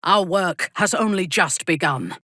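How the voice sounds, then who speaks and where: A woman playing a proud, ruthless dark elf commander in a video game, speaking formally and haughtily in a breathy, husky voice. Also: fairly low pitch, no echo, studio quality. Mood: stern, resolute. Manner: measured, haughty, formal diction. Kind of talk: video game character dialogue.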